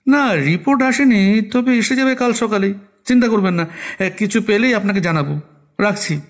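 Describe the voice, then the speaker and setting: A man voicing a helpful police inspector who reports by phone in a brisk, obliging way. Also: high voice. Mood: reassuring.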